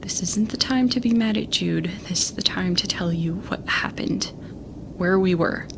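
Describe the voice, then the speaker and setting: An actress playing a young female starship captain, shaken and stubborn, telling her story in a halting, earnest monologue for an audio drama. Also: fairly low pitch, vocal fry, background noise. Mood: weary, earnest. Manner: halting, earnest, self-interrupting. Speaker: lead character recounting events in a broadcast. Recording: music bed under the voice. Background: music.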